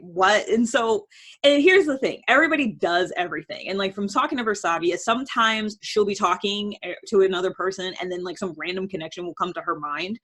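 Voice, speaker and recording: A woman's voice: lowish voice, fairly bright tone. A woman hosting a show, explaining ideas in a casual monologue, thinking aloud in informal language. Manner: informal, self-referential, thinking aloud with examples. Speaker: host of a personality-typing show.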